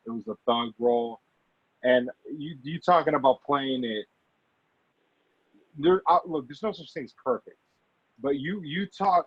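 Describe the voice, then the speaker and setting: Halting man chatting, dull lo-fi sound. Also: medium pitch, no room sound. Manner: halting, repeats and rephrases himself. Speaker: actor and amateur producer, interview guest. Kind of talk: casual interview chat. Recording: dull, lo-fi audio.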